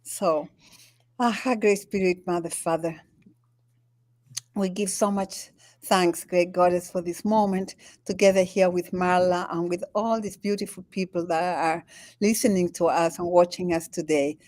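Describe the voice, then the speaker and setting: An older woman from Chile with a Chilean Spanish accent, talking in an interview as a guest. Her native language is Spanish, and she speaks haltingly, with many restarts and frequent laughs. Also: on the low side, steady tone, a little mellow, no room sound. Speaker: guest, spiritual teacher. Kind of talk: conversational interview. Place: home in the Andes.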